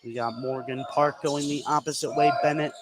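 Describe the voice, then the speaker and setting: A man's voice: medium pitch, steady tone, moderate pace. An American man calling live basketball play-by-play for radio from a gym. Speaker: play-by-play commentator. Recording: noisy live gym broadcast.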